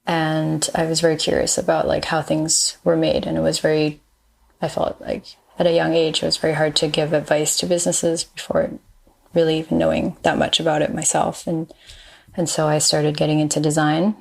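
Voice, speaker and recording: A woman in her thirties from Malaysia, a designer and engineer answering interview questions thoughtfully, often pausing with ums. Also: low-pitched, monotone, gravelly, darkish tone. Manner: thoughtful, pauses with frequent ums. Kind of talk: casual interview chat.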